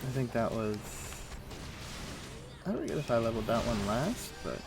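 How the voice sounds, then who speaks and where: A man livestreaming a game, chatting casually and thinking aloud in a rambling, halting way. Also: medium pitch, big pitch swings, vocal fry. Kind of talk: casual chat while playing an online game. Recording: music playing behind. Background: music.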